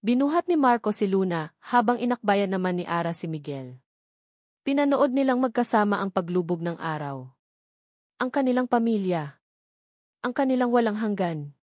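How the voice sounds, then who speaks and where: A Filipino woman tells a dramatic story in a sentimental, measured voice. Her pitch sits in the middle range for a woman. The sound is dry, with no room around it. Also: lively intonation, a little mellow, no echo. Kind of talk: scripted dramatic story narration.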